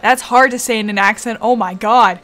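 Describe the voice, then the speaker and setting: Casual woman gamer, fairly high voice. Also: steady tone, a little fast, fairly bright tone. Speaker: gamer playing through a story. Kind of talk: casual gameplay commentary.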